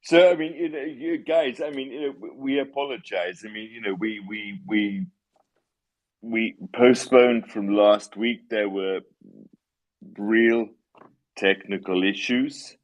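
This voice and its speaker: A man with a lowish voice hosts a live online audio chat at a slow, unhurried pace. He stumbles through restarts and fillers, sounding apologetic with a wry edge. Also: fairly even intonation, low-quality recording. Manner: halting, many restarts and fillers. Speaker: AMA host. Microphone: online audio call.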